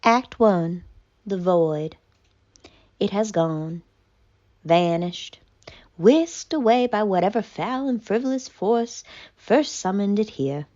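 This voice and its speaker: A woman voicing a haughty, melodramatic, traumatized female cat in a pompous, mock-tragic theatrical monologue with deliberate pauses. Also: mid-range voice, animated, dark tone, dull audio. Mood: weary, mournful.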